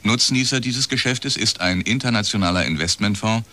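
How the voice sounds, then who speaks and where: A man's voice: lowish voice, moderate pace, bright, forceful tone. An actor playing a male radio newsreader, delivering a bulletin in a formal, neutral, precise manner within a radio drama.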